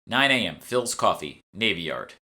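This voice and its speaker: A man's voice: on the low side, big pitch swings, moderate pace, pushed and bright, exaggerated voice. A man voicing a bossy, curt, sardonic female guild fixer in an audiobook. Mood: matter-of-fact. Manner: curt, impatient, know-it-all.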